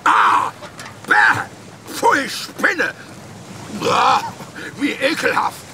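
A whiny, dim-witted cartoon scoundrel in a children's radio play, pitched very high and swooping wildly. He mutters and exclaims to himself in a drawn-out, creaky voice. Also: big pitch swings, very noisy, exaggerated voice. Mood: disgusted, desperate. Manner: exclaiming, talking to himself, whining. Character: hapless dim-witted cartoon villain, a whining sneaky man. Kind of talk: children's audio drama acting.

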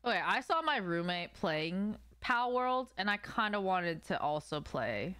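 A woman's voice: medium pitch, sing-song, measured pace, fairly bright tone, clean tone, dry recording, clean studio sound. A young adult woman streaming a game, chatting casually and playfully with her viewers in slangy speech. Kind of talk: casual live stream chat while gaming. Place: small room at home, indoors.

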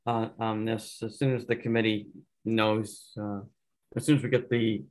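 A man's voice: lowish voice, flat delivery, normal speed, low-quality recording. Guy running a town board zoom meeting, easygoing, lots of ums. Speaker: board chair. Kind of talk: casual meeting discussion.

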